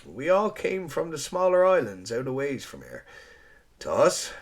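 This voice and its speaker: A confident storekeeper, voiced by a male narrator. He talks in an easygoing, folksy way, courteous and sure of himself, with a gravelly edge to his voice. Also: on the high side, huge pitch swings, unhurried, pitched above the performer's own voice, full character voice. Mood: talkative. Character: charming working man, storekeeper, self-assured.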